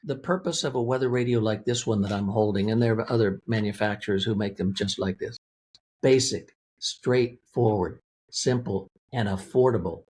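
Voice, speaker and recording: A man's voice: on the low side, normal speed, darkish tone, slight background noise. A man speaking as an interview guest, explaining a product in a plain-spoken, methodical, conversational way. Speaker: interview guest, weather radio company representative.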